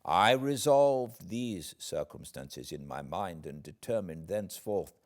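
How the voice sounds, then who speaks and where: A British man reading a classic novel aloud as an audiobook narrator, in a measured, theatrical and expressive way with deliberate pauses. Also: sits low, creaky. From Britain.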